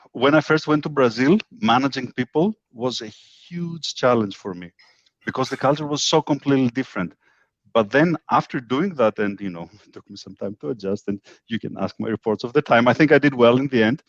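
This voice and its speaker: A man's voice: medium pitch, dry recording. A man from Greece, reflecting conversationally as a co-host in an online discussion. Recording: dull lo-fi online audio.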